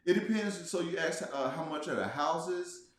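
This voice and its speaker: A man's voice: fairly high pitch, normal speed. Man chatting in a very echoey room. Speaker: guest, travel vlogger. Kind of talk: casual livestream chat.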